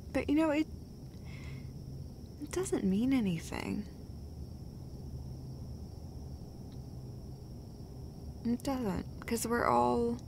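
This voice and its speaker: A woman's voice: mid-range voice, creaky, a little hiss or hum. A woman playing a tender, foul-mouthed girlfriend who comforts her partner in an intimate, conversational roleplay, rambling and hesitating as she goes. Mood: soft, vulnerable. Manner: halting, hesitant, rambling, sweary. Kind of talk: intimate roleplay audio monologue, casual and conversational.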